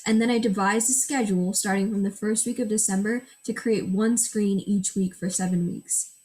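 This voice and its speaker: A woman's voice: mid-range voice, a little mellow, poor audio. A teenage girl, a native Gujarati speaker, gives a school project presentation in a mid-range voice. She reads from a prepared script and explains each screen step by step. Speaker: student presenter.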